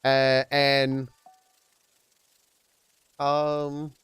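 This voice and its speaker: A man talking loosely to his stream chat during a game, his voice pitched at an everyday middle. His thoughts wander and restart often, and he springs higher to greet viewers. Soft game music plays quietly behind him. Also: monotone, drawn-out words, clean tone, no echo. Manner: rambling, with many restarts and trailing thoughts. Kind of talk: casual live-stream chat while gaming.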